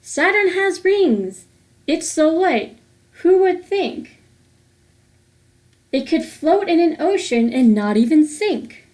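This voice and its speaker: A woman with a high-pitched voice reads a children's picture book aloud. She takes her time, with a slow, sing-song delivery that sets out each rhyming phrase deliberately. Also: sing-song, a little mellow. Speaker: host of a children's read-aloud series.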